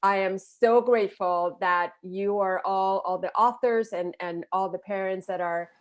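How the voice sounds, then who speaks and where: A woman with a mid-range voice presents her children's books on a video call. She talks in a cheerful, animated way. She speaks through earphones with a mic from a room at home. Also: medium pitch, a little slow. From Puerto Rico. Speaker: children's book author.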